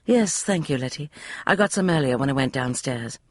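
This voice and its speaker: A woman's voice: very deep voice, expressive, moderate pace. A woman voicing a composed, genteel young lady who speaks politely and dryly. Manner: polite, dry.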